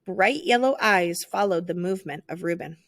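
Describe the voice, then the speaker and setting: A woman narrating a children's picture book aloud in an expressive, theatrical storytelling style. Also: on the low side, moderate pace, no echo, studio quality.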